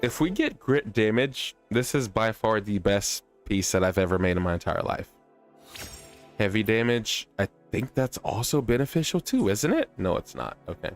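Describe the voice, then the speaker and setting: Male game streamer, lowish voice, chatty and playful, casual commentary over faint music. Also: expressive, moderate pace. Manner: chatty, playful slang, self-deprecating.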